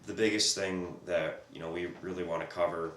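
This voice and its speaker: Hoarse, hesitant male co-host with a deep, level voice; distant mic, faint hiss. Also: fairly even intonation, brisk, fairly bright tone. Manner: hesitant, many ums. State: hoarse from a cold. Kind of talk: casual discussion. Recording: echoey room, distant mic, faint noise.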